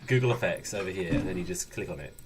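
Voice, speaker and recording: Male podcast host, deep register, hesitant casual delivery, background chatter. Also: animated, quick pace. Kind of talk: casual chat, improvising a story with co-hosts. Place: online video call.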